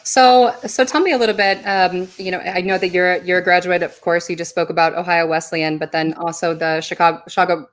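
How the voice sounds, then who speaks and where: A woman's voice: on the low side, steady tone, a little fast, hardly any pauses. A woman interviewing a guest, asking friendly, rambling questions and correcting herself as she goes.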